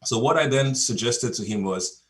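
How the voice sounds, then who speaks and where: A man from Nigeria, a native Yoruba speaker, giving a relaxed, story-filled coaching talk over a video call. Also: medium pitch, moderate pace. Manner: storytelling, relaxed, chuckles between points.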